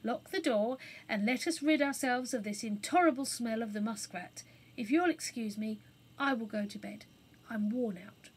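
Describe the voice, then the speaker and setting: Storybook magic bird, read by a woman: male phoenix, soft voice, courteous, grand, a little weary. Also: on the high side, normal speed, slightly rough, poor audio. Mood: calm, weary. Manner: formal, polite. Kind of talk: children's storybook character voice.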